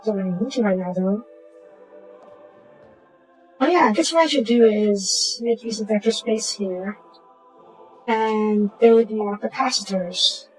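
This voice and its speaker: A woman with a slightly hoarse voice, casually talking through a strategy game and muttering to herself as she plays. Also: mid-range voice, measured pace, long pauses, faint music behind, rough recording. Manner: muttering to herself while playing, trailing off into quiet asides. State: hoarse from a sore throat. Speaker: gaming channel host. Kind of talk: casual gameplay commentary.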